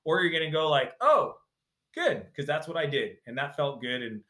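Male guest, bright and forceful tone, emphatic storyteller, roomy sound. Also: a little high, very animated, moderate pace. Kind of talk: casual interview talk.

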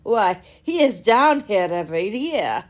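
A woman voicing a hearty, jovial older country gentleman who talks loud, booming and slow. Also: sing-song.

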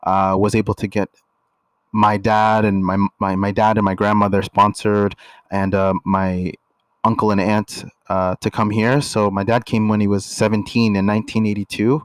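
Man, from Canada, young adult, low pitch, relaxed filler-heavy talk, dry recording. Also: moderate pace, no room sound. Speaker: podcast co-host. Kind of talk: casual couple chat.

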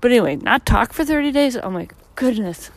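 A tired woman in her thirties, hosting her own podcast, reflecting in a rambling, casual way while walking outdoors on a street, her voice a little deeper and husky. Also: expressive, fairly quick. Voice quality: slightly deep, husky from tiredness. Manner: reflective, rambling, many fillers. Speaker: podcast host. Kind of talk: casual solo podcast monologue.

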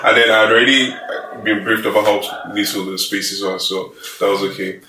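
A male student guest tells his story in an animated way. His voice is low but bright. He sits back from the mic in an echoey room. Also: moderate pace, distant mic, slight background noise. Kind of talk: casual panel chat.

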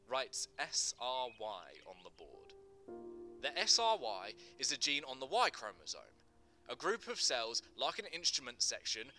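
A man's voice: mid-range voice, pushed and bright. A male actor giving scripted, dramatic narration of a screenplay in terse stage directions.

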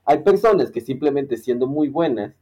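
A man's voice: mid-range voice, lively intonation, normal speed, warm tone. A man with a Mexican accent chatting casually as a podcast co-host, rambling and searching for his words. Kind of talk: casual conversation.

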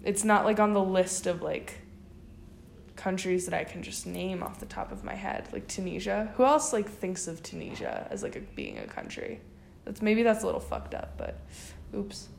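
A woman's voice: medium pitch, big pitch swings, normal speed, vocal fry, echoey room, slight background noise. A young woman co-hosting a casual podcast, chatting loosely with lots of fillers. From United States. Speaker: podcast co-host. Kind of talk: casual chat.

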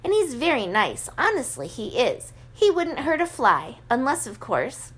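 A kindly, confident wizard's wife, read by a woman, a little raised in pitch. She is warmly reassuring and sure of herself, in a storybook voice close to the reader's own. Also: sing-song, a little slow, breathy edge, full character voice.

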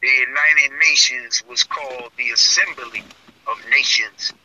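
A man's voice: mid-range voice, steady tone, measured pace, pushed and bright. An American man in impassioned religious debate, speaking emphatically, repeating himself and posing rhetorical questions. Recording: muffled, lo-fi distant call audio.

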